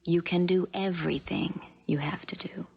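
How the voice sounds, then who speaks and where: A woman's voice: on the low side, fairly even intonation, moderate pace, gravelly. An actress playing a cold, spoiled, scheming young rich woman in a 1970s radio drama, speaking in a haughty, cutting way. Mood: scheming, firm. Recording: dull, noisy 1970s radio recording.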